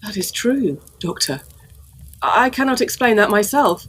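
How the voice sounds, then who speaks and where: An actress playing a grave, worried young gentlewoman, formal and earnest, in a period gothic audio drama. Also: mid-range voice, lively intonation, normal speed, breathy edge.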